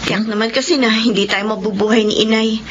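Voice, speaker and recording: Woman doing earnest worried filipino daughter, high young voice, radio drama, muffled and noisy. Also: steady tone, brisk, lightly acted. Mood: worried, resolute. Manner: pleading, earnest.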